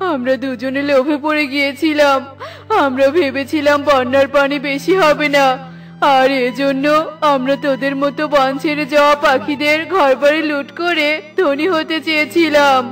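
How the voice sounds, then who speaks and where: Woman doing a greedy cartoon bird, high voice, kinda flat, music behind. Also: moderate pace, natural voice. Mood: remorseful. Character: greedy adult crow, scheming then remorseful. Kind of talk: children's cartoon voice acting.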